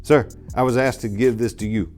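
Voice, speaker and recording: A man's voice: mid-range voice, fairly even intonation, a little fast, a little mellow, faint music behind, pitched above the performer's own voice, natural voice. A young club servant voiced by a male narrator speaks politely and insistently. He is deferential, a minor figure in a crime story read aloud. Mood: nervous, polite. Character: quiet, insistent young club server boy. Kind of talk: dramatic reading of crime fiction.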